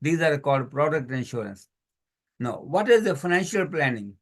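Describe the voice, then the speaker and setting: Man, fairly high voice, workshop teacher, video call, listing examples, non-native English phrasing. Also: very animated, normal speed. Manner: explains with many lists and examples. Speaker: financial educator. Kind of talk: community workshop presentation.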